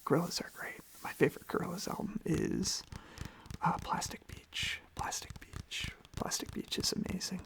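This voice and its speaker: Whispery asmr person with lots of vocal fry, sleepy casual rambling, repeats stuff softly. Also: mid-range voice, normal speed. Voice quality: whispered, breathy. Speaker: ASMR video creator. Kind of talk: ASMR, softly rambling casual chat while tapping on objects. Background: tapping and clicking on objects.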